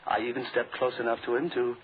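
An actor playing a cool, smug hired killer in a 1940s radio drama, speaking with formal, understated precision. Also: male voice, medium pitch, level tone, quick pace, lightly acted. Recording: tinny, faintly noisy 1940s radio recording.

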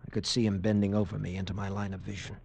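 A man's voice: on the low side, flat delivery, rapid-fire, vocal fry, a little mellow, husky. A man acting in a 1940s radio drama as a tough lieutenant recounting a frightening ordeal in an earnest, intense way. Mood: earnest, unsettled. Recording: noisy vintage radio recording.

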